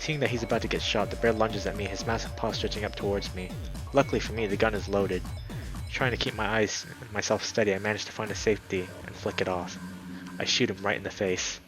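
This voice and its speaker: A man streaming a let's play, reading a visual novel aloud in a dramatic, excitable way, sometimes stumbling over words. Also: on the low side, brisk, poor audio. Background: background music.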